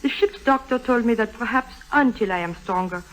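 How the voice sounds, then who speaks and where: A fairly high young female voice of an actress, as a princess in disguise in a 1950s radio play. She is gracious and coy, placing each formal phrase with care. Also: a little fast, close to an everyday voice, rough recording. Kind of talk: 1950s radio drama acting.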